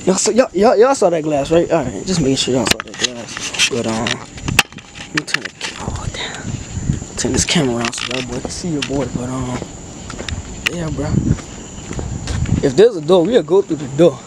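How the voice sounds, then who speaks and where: A young man vlogging with a handheld camera as he explores a dark abandoned building at night, talking in loose slang and dropping to whispers. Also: on the high side, moderate pace, pauses often. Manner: slangy, excitable, often hushed to a whisper. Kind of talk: casual handheld vlog. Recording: noisy camera audio.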